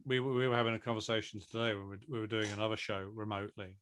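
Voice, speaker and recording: A man's voice: on the low side, fairly even intonation, fast, a bit bright, smooth. A man talking conversationally as an interviewed producer, repeating words hesitantly as he builds his points. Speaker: audio drama producer being interviewed.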